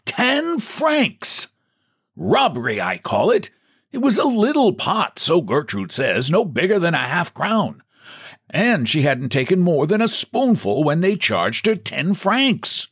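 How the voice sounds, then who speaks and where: A man's voice: a little high, wildly swooping pitch, slow pace, fairly bright tone, breathy edge, pitched above the performer's own voice, exaggerated voice. A man voicing a frail elderly man who talks in a thin, piping, quavering way. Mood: indignant.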